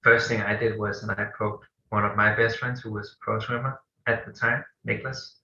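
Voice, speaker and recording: A man's voice: on the low side, fairly even intonation, moderate pace, bright, forceful tone, slight background noise. A man from Denmark with a Danish accent, answering interview questions in his thirties, hesitant and full of fillers. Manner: hesitant, many fillers and restarts. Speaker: guest, company co-founder. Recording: echoey room, dull lo-fi sound.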